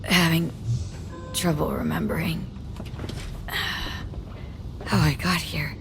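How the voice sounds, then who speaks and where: A woman's voice: deep voice, fairly even intonation, extremely slow, vocal fry, music in the background, exaggerated voice. Weary, defiant young woman mercenary. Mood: dazed, shaken. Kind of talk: cinematic video game acting.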